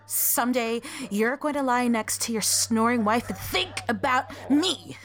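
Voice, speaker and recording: A woman's voice: on the high side, unhurried, a bit bright. A woman acting in an audio drama as a cold, scornful lover walking out, with a biting, menacing delivery. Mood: cold, bitter.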